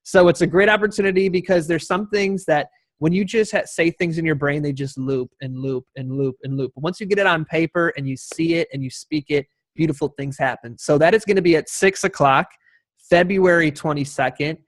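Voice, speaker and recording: A man's voice: a little high, a little fast, no echo. A man moderating a discussion in an unscripted, encouraging and appreciative way. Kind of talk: spontaneous event moderation.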